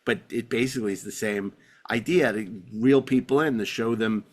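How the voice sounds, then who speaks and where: A man's voice: fairly low pitch, normal speed. A man hosting a podcast in casual, chatty conversation, talking like an enthusiastic fan. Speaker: podcast host. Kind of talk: casual chat.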